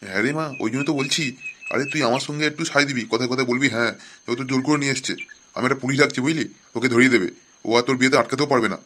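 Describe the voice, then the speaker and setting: A man's voice: medium pitch, very fast, pushed and bright, slight background noise, natural voice. A man voicing an angry, scheming middle-aged father in a comic cartoon, blustering and full of crude threats. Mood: persuading.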